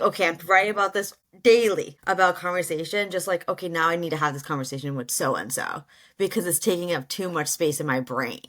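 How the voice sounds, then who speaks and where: A woman's voice: lowish voice, fairly bright tone. A woman hosting a podcast, chatting casually and packing her talk with "like". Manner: chatty, many "like" fillers, laughs.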